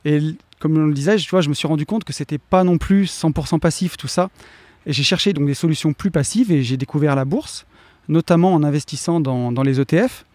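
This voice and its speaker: A man in his late thirties, a guest in a casual interview, talking about his own investing in a relaxed way with fillers. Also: a little high, brisk, no room sound. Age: 30s.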